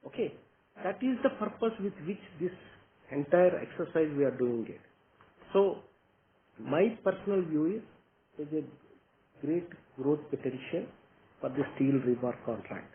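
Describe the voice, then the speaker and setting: A man's voice: fairly high pitch, lively intonation, a little slow, pauses often. A man with an Indian accent answering questions as a company executive in an investor meeting, explaining point by point and often checking with a short "okay?". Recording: tinny phone-like line with background noise.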